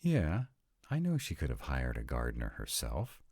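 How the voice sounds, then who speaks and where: A man's voice: rumbling low, huge pitch swings, moderate pace, a little mellow, no echo, heavily acted. A man playing a sarcastic, reluctant but devoted vampire mate in a scripted roleplay audio drama, with a dry manner. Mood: incredulous.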